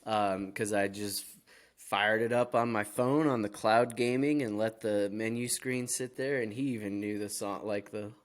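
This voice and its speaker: A man's voice: fairly low pitch, steady tone, a little slow. A man co-hosting a gaming podcast, chatting informally and wryly in an anecdotal way. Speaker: podcast co-host. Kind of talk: casual gaming podcast chat.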